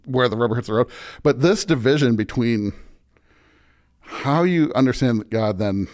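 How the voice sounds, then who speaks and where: An American man, a pastor, in casual reflective conversation as a podcast guest, halting as he searches for words. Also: medium pitch, animated, darkish tone.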